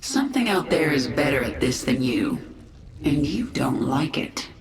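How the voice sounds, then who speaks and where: A woman's voice: sits low, very animated, a little slow, far from the mic, exaggerated voice. A woman playing a vengeful, commanding queen of an alien swarm in a video game, speaking tersely and with authority. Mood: demanding. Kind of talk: video game character acting. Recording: roomy echo, noisy game ambience.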